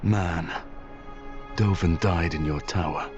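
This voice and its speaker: Earnest, blunt northern lord. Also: male voice, sits low, takes it slowly, highs cut off, over music. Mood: bitter, blunt. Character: earnest, blunt northern male noble of the Night's Watch. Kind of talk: dramatic fantasy game acting.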